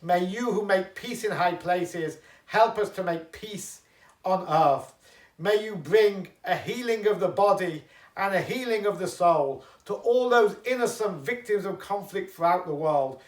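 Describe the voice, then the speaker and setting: High-pitched man, rabbi, solemn oratorical address, measured, roomy echo, slightly off mic. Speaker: rabbi giving a memorial address. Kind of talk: solemn speech and prayer. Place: echoey room, pre-recorded video. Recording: echoey room, slightly distant mic.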